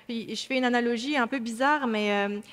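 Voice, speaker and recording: A woman's voice: a little high, a little fast, fairly bright tone. A woman from France with a French accent, explaining cybersecurity training as a panelist at a conference, with many fillers and restarts. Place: large conference hall. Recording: hall reverb.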